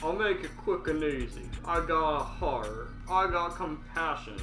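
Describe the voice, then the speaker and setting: A man's voice: fairly high pitch, expressive, unhurried. A man voicing a callous, smug male mob hitman with a folksy drawl in an amateur table reading. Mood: smug menace. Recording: roomy echo with music behind.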